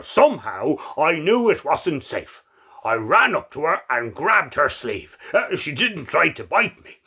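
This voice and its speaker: A man voicing a loyal, excitable former stray dog in a hesitant, earnest storybook reading. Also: on the high side, creaky, breathy edge, full character voice.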